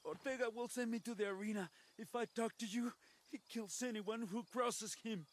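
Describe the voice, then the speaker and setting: A man's voice: very high voice, moderate pace. An actor playing a captured mercenary pilot who curses defiantly but is scared of his boss. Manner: shouting curses, scared and desperate.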